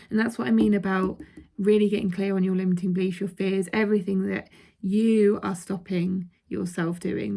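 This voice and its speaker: Croaky-throated woman giving a card reading. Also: mid-range voice, dark tone. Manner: chatty, checks in with "okay?", laughs between points. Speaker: intuitive card reader. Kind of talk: casual card reading to viewers.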